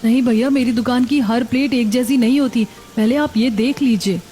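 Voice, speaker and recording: A woman's voice: a little high, moderate pace, dark tone, close to an everyday voice. A woman playing a patient, honest young daughter-in-law who runs a food stall in a cartoon. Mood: earnest, reassuring. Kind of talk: cartoon dialogue acting. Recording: background music under voice.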